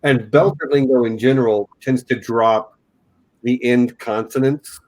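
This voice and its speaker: A man's voice: medium pitch, steady tone, a little slow, darkish tone, rough recording. A man from Texas with a Texan accent, hosting a casual discussion and often restarting his sentences. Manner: chatty, self-correcting. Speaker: podcast host.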